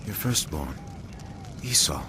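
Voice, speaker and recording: An actor playing a respectful, earnest elder son, a hunter, in a Bible audio drama. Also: male voice, rumbling low, big pitch swings, slow pace, background noise, full character voice. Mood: eager, respectful.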